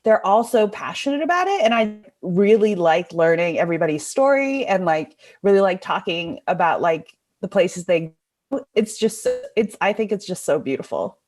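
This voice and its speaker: A woman's voice: on the low side, very animated, normal speed, a little mellow. Enthusiastic young woman in casual interview. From Hong Kong, also raised in Indonesia. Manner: enthusiastic, effusive. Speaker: interview guest. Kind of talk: casual, chatty interview answers.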